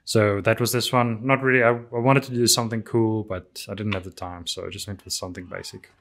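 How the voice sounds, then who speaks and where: A man's voice: on the low side, fairly even intonation, fairly quick, fairly bright tone. A man whose first language is Afrikaans casually talks through his drawings, with many ums and restarts. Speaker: artist reviewing his drawings. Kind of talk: casual narration over artwork.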